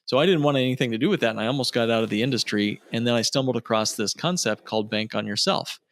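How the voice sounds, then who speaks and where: A man's voice: mid-range voice, fast, a bit bright. A man speaking as a financial planner guest on an interview podcast, explaining conversationally and emphatically, with repetition for effect.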